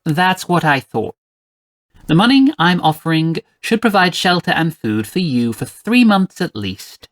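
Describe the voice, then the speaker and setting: A man's voice: fairly high pitch, sing-song, a little slow, fairly bright tone, pitched above the performer's own voice. A man voicing a haughty, stingy queen with an imperious, clipped way of bargaining, in a storybook reading. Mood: haughty, dismissive. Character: haughty, stingy, commanding queen, mother of a child.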